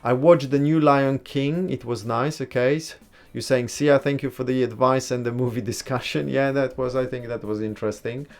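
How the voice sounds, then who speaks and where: A man's voice: mid-range voice, moderate pace, hardly any pauses. Easygoing man chatting on a livestream. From Poland. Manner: chatty, relaxed, reads and answers comments; blunt opinions. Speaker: livestream host. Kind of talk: casual live-stream chat with viewers.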